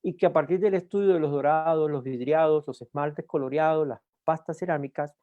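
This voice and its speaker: A man from Cuba with a Cuban accent, lecturing at a university in an unhurried way, often pausing on "eh" and restarting words. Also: fairly high pitch, fairly even intonation, no room sound, narrow, tinny audio. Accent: Cuban Spanish. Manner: unhurried with frequent "eh" fillers and restarts. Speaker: guest lecturer. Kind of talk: university talk.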